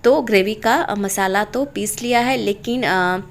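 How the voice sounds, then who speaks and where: A woman's voice: mid-range voice, a little slow, vocal fry, a little hiss or hum. A middle-aged woman casually narrating her daily home and cooking routine as a vlogger, her throat sore from a cold. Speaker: homemaker vlogger and mother.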